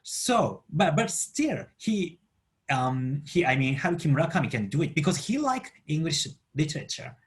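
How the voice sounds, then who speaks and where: A Japanese man speaking English as a second language with a Japanese accent, explaining a book in a halting way as he searches for words. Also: on the high side, big pitch swings. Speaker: book channel host.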